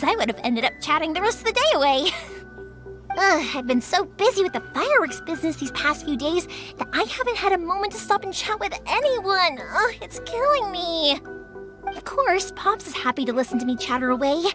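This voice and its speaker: A woman's voice: very high voice, big pitch swings, fairly quick. A woman voicing a cheerful young fireworks maker in a video game, upbeat, chatty and caring. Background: game background music.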